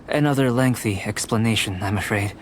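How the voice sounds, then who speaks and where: Man acting a measured, detached young scholar. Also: lowish voice, a little hiss or hum, close to an everyday voice. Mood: calm, clinical. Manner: measured, analytical, formal, mild. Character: calm, detached young male alchemist scholar, endlessly curious. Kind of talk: video game voice acting.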